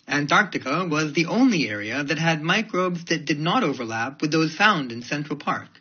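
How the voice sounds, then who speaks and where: Husky man with lively, swooping intonation. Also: fairly high pitch, moderate pace. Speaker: reader of English test practice passages. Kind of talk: read-aloud of academic texts. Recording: dull lo-fi audio.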